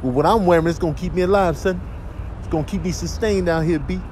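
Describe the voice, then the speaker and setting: A man's voice: sits high, quick pace, darkish tone, slight background noise, natural voice. A man voicing a smug, streetwise pigeon with a New York accent, bragging in street slang in a comic animal voiceover.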